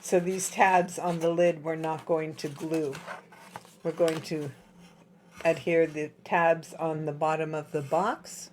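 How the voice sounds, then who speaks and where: A woman with a slightly hoarse, husky voice, chatting through a step-by-step craft tutorial at home. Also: low voice, little pitch movement, slow, darkish tone, a little hiss or hum. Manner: chatty, explains while working with her hands. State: slightly hoarse from dry air.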